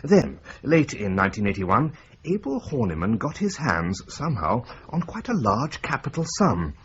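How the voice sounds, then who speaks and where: An actor playing a precise, wry accountant who explains figures step by step in a radio drama. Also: male voice, medium pitch, wildly swooping pitch, moderate pace. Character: dry precise male accountant. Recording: dull lo-fi audio with faint hiss.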